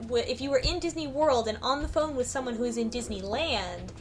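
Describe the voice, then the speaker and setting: A woman's voice: a little high, a little fast. A woman explaining things informally in a casual group discussion. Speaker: book club podcast panelist. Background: music behind the voice.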